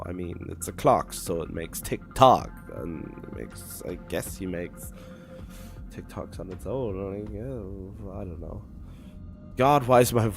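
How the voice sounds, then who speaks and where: A man's voice: low-pitched, creaky. A man chatting casually on a game livestream in a rambling, wry, self-deprecating way. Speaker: video game live streamer. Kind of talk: casual livestream chat and commentary. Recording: music behind the talk. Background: game music.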